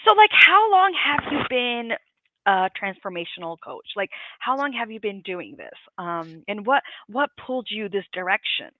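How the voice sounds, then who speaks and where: A woman's voice: mid-range voice, very animated, moderate pace, background noise. A woman hosting a casual live interview, effusive and gushing, rambling with lots of fillers. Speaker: interview host. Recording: dry, tinny phone-like line.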